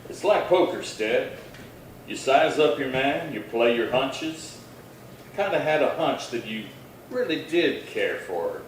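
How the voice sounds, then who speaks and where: A steady radio-Western marshal played by a man speaks with measured gravity. He sounds far from the mic in a strongly echoing hall, reasoning firmly and persuasively. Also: mid-range voice. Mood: easy, kindly. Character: steady, grave Old West lawman marshal. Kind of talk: Western radio drama acting. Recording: echoey hall, distant mic, faint noise.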